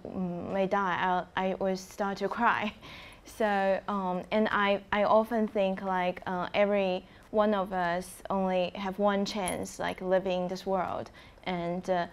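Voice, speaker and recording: Reflective woman from China. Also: medium pitch. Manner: reflective, many "like"s, laughs between thoughts. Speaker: playwright. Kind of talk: panel introduction.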